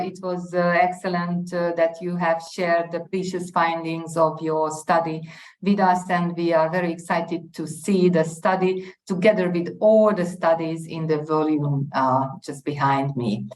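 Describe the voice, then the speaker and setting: A woman's voice: lowish voice, flat delivery. Woman moderating in an echoey room. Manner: formal, halting, with many pauses. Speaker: conference moderator. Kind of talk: formal event moderation.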